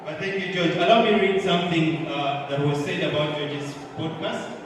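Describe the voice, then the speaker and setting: A man's voice: a little high, steady tone, normal speed, far from the mic, background noise. A man hosting a live event, talking easily and directly to the audience. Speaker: event host. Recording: echoey hall, mic from afar, crowd.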